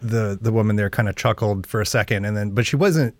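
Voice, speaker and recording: Low-voiced man, casual interviewer, dry sound. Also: brisk, dry recording. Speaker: interviewer, reporter.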